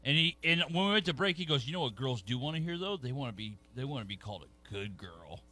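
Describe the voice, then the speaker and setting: A man's voice: medium pitch, very animated, sharp tone. A man co-hosting a radio talk show, teasing and laughing through casual banter. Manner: laughing, teasing, quick retorts. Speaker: co-host.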